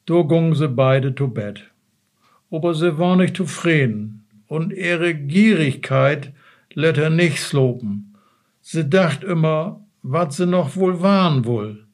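A man with a Low German accent, a native Low German speaker, reading a fairy tale aloud slowly and haltingly. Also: animated. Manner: slow, halting and stumbling. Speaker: guest reader.

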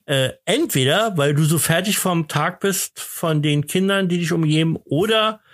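A man hosting a podcast in casual chat, halting and repeating words as he searches for phrasing. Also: on the high side, fairly bright tone, no echo, clean studio sound. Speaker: podcast host.

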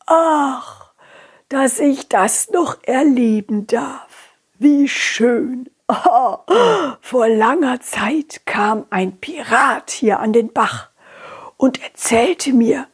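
Lady doing a croaky old fish grandpa, breathy and slow, whispery. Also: on the high side, full character voice. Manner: slow, whispery, says "blub".

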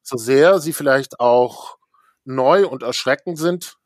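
A man leads a relaxed conversation in a measured, halting way, often pausing to find the right word. His voice sits in an ordinary middle range and sounds dry and close, with no room echo. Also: mid-range voice. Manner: measured, searching for words. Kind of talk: casual conversation.

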